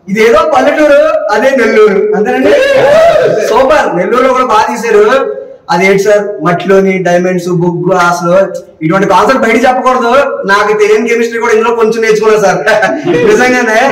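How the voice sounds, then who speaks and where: A man giving an excited, emphatic film review to a public interviewer, often shouting and breaking into rhyming slogans. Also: high-pitched. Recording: echoey, noisy, distant from mic.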